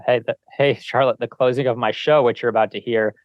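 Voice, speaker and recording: A man's voice: mid-range voice, fairly even intonation, brisk, no echo, dull audio. A man hosting an interview podcast in a casual, conversational style. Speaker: podcast host.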